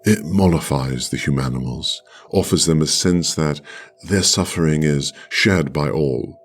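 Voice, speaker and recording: A man performing a radio play monologue as a solemn, privileged man of the future chosen for sacrifice, speaking in a measured, formal, halting way. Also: a bass voice, slight background noise. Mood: solemn, resigned. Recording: music under the voice.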